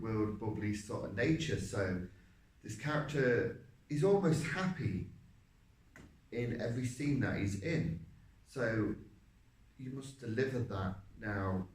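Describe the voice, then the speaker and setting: Low deep-voiced guy, lots of uhs, kinda far off the mic. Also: a little mellow. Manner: hesitant, many fillers. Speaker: stage actor. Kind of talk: talking about a stage role. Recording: roomy, from a distance.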